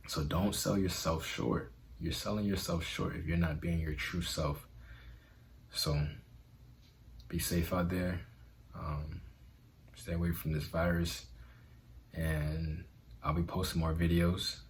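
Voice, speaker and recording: A man's voice: low-pitched, flat delivery, slow pace, creaky, long pauses, slight background noise. A man vlogging in an earnest, conversational way about self-acceptance, with a stutter. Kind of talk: motivational vlog talk.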